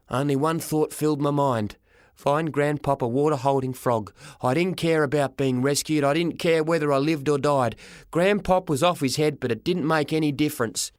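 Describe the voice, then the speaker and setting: A man narrating a first-person story for an audiobook, dramatic and expressive, in short measured phrases. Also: a little high, no room sound, clean studio sound.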